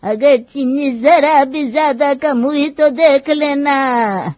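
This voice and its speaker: A woman playing a stern, sharp-tongued old village grandmother-in-law who scolds and commands in a cartoon story. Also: high voice, slow pace, no room sound, lo-fi sound. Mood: stern, demanding. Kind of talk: acted dialogue in a cartoon moral story.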